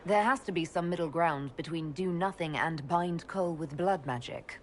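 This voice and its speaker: A woman's voice: lowish voice, normal speed, slight background noise, close to an everyday voice. A woman playing a composed, diplomatic leader in a video game, articulate and reasonable. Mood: reasoning. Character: composed female leader mage, diplomatic, steady.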